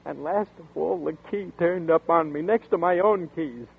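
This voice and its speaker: A man's voice: fairly high pitch, normal speed, vocal fry, phone-quality sound. Jittery, stammering young man. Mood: desperate. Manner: nervous, stammering. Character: frightened young man haunted by a dream. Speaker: narrator and lead. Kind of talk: 1940s radio acting. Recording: scratchy 1940s radio broadcast.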